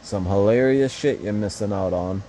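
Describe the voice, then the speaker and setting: A man's voice: on the low side, moderate pace, mellow, slight background noise. A young man casually commenting on a mobile fighting game, rambling and swearing with joking asides.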